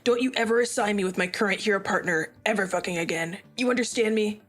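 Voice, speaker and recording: A woman's voice: mid-range voice, fast talker, a bit bright, faint music behind, lightly acted. A woman reading a fierce, possessive young male hero aloud on a stream, snarling and swearing as she goes. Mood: furious.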